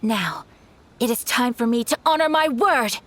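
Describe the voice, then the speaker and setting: A woman's voice: a little high, lively intonation, moderate pace, fairly bright tone, slight background noise. A woman voicing a refined, dutiful young noblewoman in a video game, speaking with formal, courteous diction. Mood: composed.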